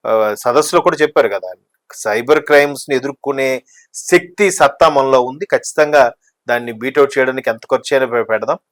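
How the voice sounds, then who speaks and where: A man giving conversational political commentary, talkative and explanatory, mixing in English words. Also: medium pitch. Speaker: news discussion commentator.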